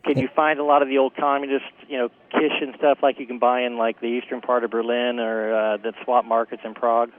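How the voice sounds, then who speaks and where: A man's voice: medium pitch, level tone, brisk, hardly any pauses. Male caller on a tinny phone line. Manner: hesitant questions.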